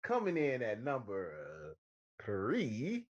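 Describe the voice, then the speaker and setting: A man's voice: medium pitch, huge pitch swings, slow. A man in his thirties co-hosting casually, teasing and emphatic, on slightly muffled audio. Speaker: co-host of a movie and video game show. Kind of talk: casual playful banter.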